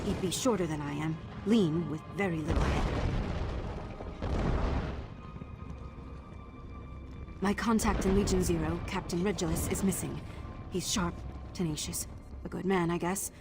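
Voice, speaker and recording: An actress playing a wry, guarded undercover agent in a video game, with a dry, clipped delivery. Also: female voice, lowish voice, a little fast, natural voice. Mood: businesslike concern. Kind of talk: video game character acting. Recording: prominent music behind the voice.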